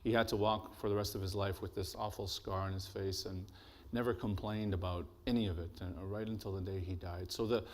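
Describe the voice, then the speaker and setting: A man with a deep, bassy voice giving a relaxed, joking symposium talk as an artist, speaking into a microphone in a lecture hall. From Blackfoot territory, Alberta. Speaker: artist and presenter. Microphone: handheld or podium microphone.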